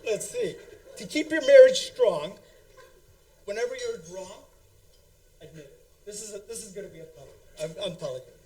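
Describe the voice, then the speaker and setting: A man's voice: sits high, lively intonation, normal speed, long pauses, warm tone, slight background noise, poor audio. A man giving a joking wedding toast with marriage advice, laughing as he talks. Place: wedding reception hall.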